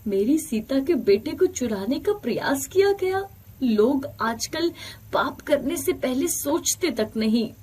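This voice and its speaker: A woman voicing a kind, hopeful male farmer in an animated moral story, earnest and reassuring. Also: sits high, slow pace. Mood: upset, protective. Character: hopeful, protective, kind village farmer man.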